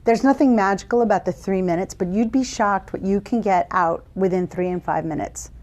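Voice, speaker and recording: A woman facilitator giving instructions to camera from an office, speaking in a warm, encouraging and deliberate way. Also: mid-range voice. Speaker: workshop facilitator.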